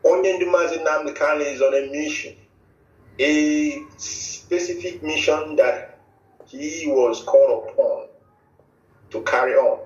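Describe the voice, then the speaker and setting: Slow, emphatic Nigerian man on echoey line. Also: fairly high pitch. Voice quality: halting, many restarts and fillers. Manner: emphatic, repetitive declarations. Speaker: movement spokesman interviewed on radio. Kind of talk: formal radio statement. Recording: echoey, distant, dull lo-fi audio.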